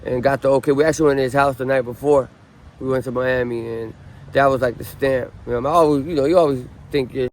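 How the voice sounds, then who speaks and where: A man's voice: mid-range voice, quick pace. A man in his late thirties from Southern California, telling his story in a casual street interview, full of ums and restarts. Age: 30s. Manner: relaxed, rambling, many ums and restarts. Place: outdoors on a city street. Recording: faint street noise outdoors.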